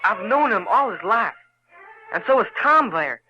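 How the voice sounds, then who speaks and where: A man playing a bold, excitable Southern boy in an audio drama, eager and insistent. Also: high voice, measured pace, muffled recording, loud music behind the voice. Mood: indignant. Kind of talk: audio theater acting.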